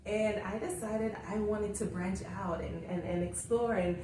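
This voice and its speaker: A woman's voice: medium pitch, steady tone, a little slow, dark tone. A woman, a guest telling her story in a casual interview and laughing often. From Jamaica. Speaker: guest, author coach. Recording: roomy echo, distant mic, faint hiss.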